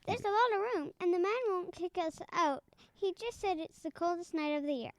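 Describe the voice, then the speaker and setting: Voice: very high voice, no echo. A performer playing a sweet, innocent little girl in a holiday radio drama, speaking earnestly and artlessly. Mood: friendly, bold. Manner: earnest, artless, halting childlike delivery. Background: music.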